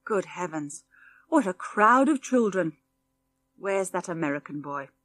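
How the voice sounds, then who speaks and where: A big, worn-out farmer from a storybook, voiced by a woman. He grumbles gruffly and wearily, a busy father with no time to spare. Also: lowish voice, lively intonation, unhurried, a bit bright, dry recording. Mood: exasperated. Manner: gruff, weary.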